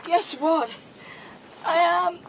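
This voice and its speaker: An actress playing a dying young woman in a radio drama, weak and halting but wryly defiant. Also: extremely high pitch, background noise, exaggerated voice. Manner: wry, halting. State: weak, struggling to keep going.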